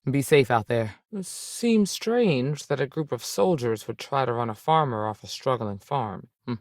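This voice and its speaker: A woman's voice: very deep voice, lively intonation, normal speed, a little mellow, no room sound, clean studio sound. A woman playing a seasoned, firm but fair space ranger in a video game, plainspoken and authoritative, with folksy turns of phrase. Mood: firm, measured. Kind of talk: video game character dialogue.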